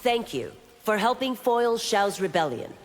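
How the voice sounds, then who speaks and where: An actress playing a stern, dutiful constable of a fantasy realm in a fighting game, formal, firm and terse. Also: female voice, mid-range voice, sing-song, unhurried. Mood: firm, grave. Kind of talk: scripted fighting game dialogue. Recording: long reverb with ambient noise.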